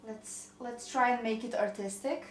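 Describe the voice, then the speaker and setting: A young woman with a Croatian accent rambles cheerfully and pokes fun at herself as she bakes. Her voice sits a little high and bounces around an echoey home kitchen, with a faint hiss underneath. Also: moderate pace. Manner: chatty, rambling, self-deprecating. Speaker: vlogger. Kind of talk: casual kitchen chat.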